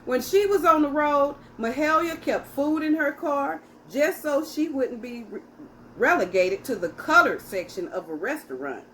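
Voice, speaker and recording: A woman's voice: high-pitched, expressive, a little slow, fairly bright tone, a little hiss or hum, rough recording. A woman hosting a children's story time, reading aloud and speaking directly to young listeners in a teacherly way.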